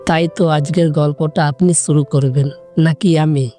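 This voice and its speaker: Mellow, tender young man in love, acted by a man. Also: fairly high pitch, steady tone, moderate pace, faint music behind, close to an everyday voice. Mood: gently curious. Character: tender, longing young man, nameless coffee-shop companion. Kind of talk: audio drama acting.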